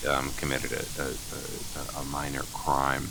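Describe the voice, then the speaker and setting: A man playing a nervous, earnest, apologetic boyfriend in an improvised comedy radio play, halting and stammering as he talks. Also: extremely low pitch, monotone, creaky, full character voice. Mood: flustered, defensive. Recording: faint sizzling barbecue noise behind.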